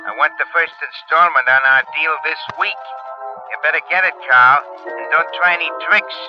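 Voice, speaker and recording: Actor as a menacing radio-drama blackmailer, muffled sound. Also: male voice, a little high, moderate pace, close to an everyday voice. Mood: cold menace. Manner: threatening, commanding. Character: menacing male blackmailing ex-convict, cold and threatening. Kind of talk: old-time radio crime drama acting. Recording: muffled vintage radio recording, music behind.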